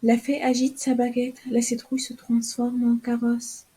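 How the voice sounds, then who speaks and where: A woman narrating a children's cartoon tale in a calm, clear storytelling manner. Her voice is warm and mellow, a little high, with a breathy softness. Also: moderate pace.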